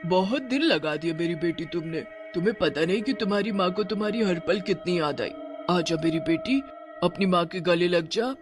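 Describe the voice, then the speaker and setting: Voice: mid-range voice, moderate pace, over music, lightly acted. A man voicing a vengeful witch mother who runs a food stall, in a theatrical, commanding cartoon horror style. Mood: doting. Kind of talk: Hindi animated horror story dialogue.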